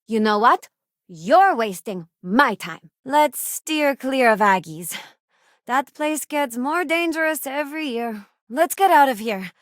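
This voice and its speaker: A woman's voice: on the high side, no echo, studio quality. An actress playing an earnest, loyal and idealistic young woman crewmate in a space video game, speaking conversationally. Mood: firm, confrontational, then wary.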